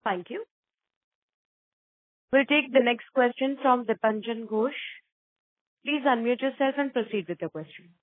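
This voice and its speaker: A woman's voice: a little high, a little slow, long pauses, dry recording. A woman formally moderating a business conference call in brief prompts. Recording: dry, phone-like conference line.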